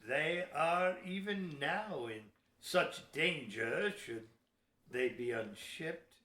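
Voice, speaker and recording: An actor playing a cunning, gruff seventeenth-century English ship's captain in an audio play, declaiming emphatically in archaic speech. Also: male voice, fairly high pitch, animated, takes it slowly, sharp tone, exaggerated voice. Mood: grave, insistent. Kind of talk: old-fashioned radio play acting. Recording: roomy, echoey audio drama recording.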